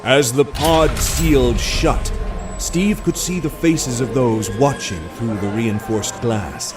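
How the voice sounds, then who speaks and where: A man reading scripted audio drama narration in a measured, suspenseful storytelling manner. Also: mid-range voice, recorded from a distance, music in the background.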